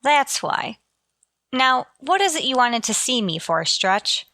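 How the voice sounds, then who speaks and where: A sardonic female schoolteacher from a vintage radio comedy, played by an actress, speaks in a high, bright voice. Her dry sarcasm rides on lively swings of pitch. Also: slow, no room sound, exaggerated voice. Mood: wry. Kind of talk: 1940s radio sitcom acting.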